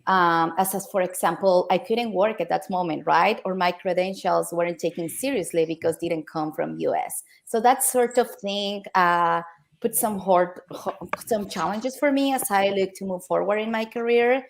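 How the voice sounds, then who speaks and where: Panel guest: woman, Mexican-accented, native Spanish speaker, creaky voice, mid pitch, personal reflection. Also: moderate pace.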